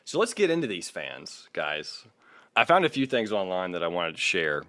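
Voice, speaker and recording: A man co-hosting a podcast, talking casually in a measured, hesitant way and sometimes reading aloud. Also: sits low, big pitch swings, a bit bright, no echo. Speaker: podcast co-host.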